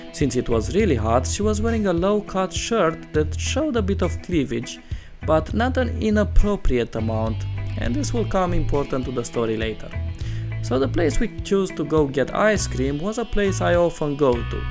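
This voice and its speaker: A non-native English-speaking man hosts a story reading in an animated, fairly high voice. He narrates and breaks in with casual, exclamatory reactions. Plucked guitar music plays behind him. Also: vocal fry. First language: not English. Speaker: host reading online stories aloud.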